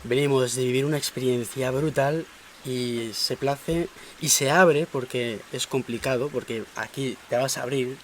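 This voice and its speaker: A man hosting a podcast, upbeat and quick to laugh in casual chat. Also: medium pitch, a little hiss or hum. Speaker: podcast host.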